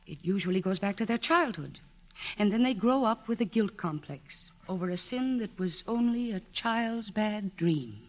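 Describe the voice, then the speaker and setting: A woman's voice: medium pitch, animated, moderate pace, close to an everyday voice. An actress playing a reserved, clinical woman psychiatrist in a 1940s radio drama, earnest, formal and precise in speech. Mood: clinical, reassuring. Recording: old radio broadcast, music behind.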